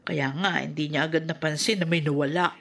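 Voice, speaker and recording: A woman's voice: sits low, steady tone, a little fast, creaky, fairly bright tone, full character voice. A woman playing a caring, protective young adult daughter in a Filipino radio drama. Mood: concerned.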